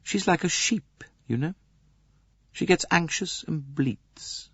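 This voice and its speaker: A muffled audiobook recording of a man acting a young English woman. The voice is lifted high and light, polite and cool, as if quietly confiding. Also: expressive, slow, slightly rough, dry recording, full character voice.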